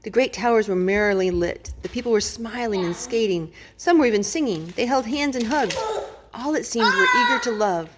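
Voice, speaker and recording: A woman's voice: a little high, expressive, normal speed. A woman reading a children's storybook aloud as a storyteller, with dramatic, emphatic phrasing.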